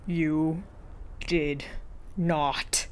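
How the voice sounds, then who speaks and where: A grumpy teenage boy in a read-aloud story, acted by a woman. He is easily outraged and complains in exasperation, stretching his words out slowly. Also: deep voice, level tone. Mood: disbelieving. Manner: loud, exasperated, dragged-out complaining.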